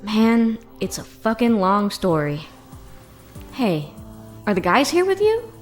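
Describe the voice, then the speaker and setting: A woman's voice: medium pitch, wildly swooping pitch, slow, faint music behind. A woman voicing a sassy, foul-mouthed sidekick in an amateur crime film dub, casual in her talk. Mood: wary.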